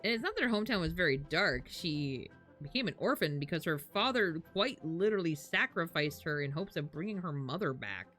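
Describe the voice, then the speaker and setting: A woman's voice: low voice, lively intonation, fairly quick, fairly bright tone, faint music behind. A woman livestreamer reacting casually to a game, sarcastic and slangy, swearing freely. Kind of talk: casual live reaction commentary.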